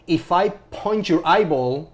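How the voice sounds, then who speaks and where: A man's voice: a little high, lively intonation, a little slow. A man co-hosting a casual discussion, speaking emphatically and opinionatedly and repeating his phrases as he rambles. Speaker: co-host of a film club show.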